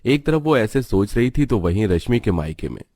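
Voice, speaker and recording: A man with a somewhat low voice narrates a cartoon story. His delivery is scripted storytelling. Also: very animated, moderate pace, dark tone.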